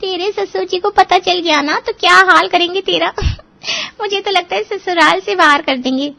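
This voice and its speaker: A woman's voice: very high-pitched, moderate pace, creaky, bright, forceful tone, heavily acted. A woman voicing a nosy, teasing young friend in a Hindi cartoon story. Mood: teasing, curious.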